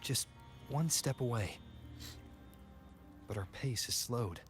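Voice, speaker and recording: A young adventurer hero from an anime game, played by a man. He speaks in a composed, earnest way at a middling pitch. Soft music sits behind the measured lines. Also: close to an everyday voice. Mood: serious. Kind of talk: anime game dialogue acting.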